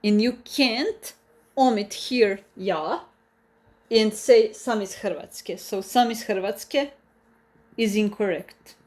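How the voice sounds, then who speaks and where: A woman with a Croatian accent, a native Croatian speaker, teaching a live online language lesson, explaining slowly and carefully with many restarts and repeated examples. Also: mid-range voice, darkish tone.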